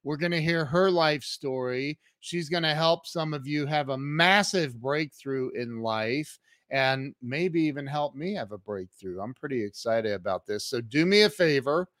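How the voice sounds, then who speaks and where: A man's voice: a little high, sharp tone, clear, resonant tone, dry recording, clean studio sound. A man hosting a podcast interview, talking in an upbeat, enthusiastic way and often restarting his sentences. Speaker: podcast host. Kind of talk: casual interview chat.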